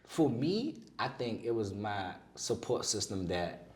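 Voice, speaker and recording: A man's voice: on the low side, big pitch swings, unhurried, roomy sound. A man in his late twenties with a Washington DC area accent, candidly telling his story in a casual podcast interview, using street slang. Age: 20s. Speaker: podcast guest.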